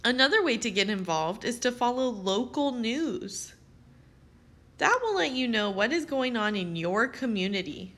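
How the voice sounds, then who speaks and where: A woman reading aloud as a teacher, with expressive, carefully phrased delivery aimed at young children. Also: a little high, moderate pace. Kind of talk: children's read-aloud narration.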